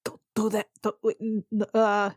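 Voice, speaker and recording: A woman's voice: mid-range voice, completely flat delivery, normal speed, no room sound, studio quality. A woman chatting casually and improvising in character as a player in a tabletop role-playing game.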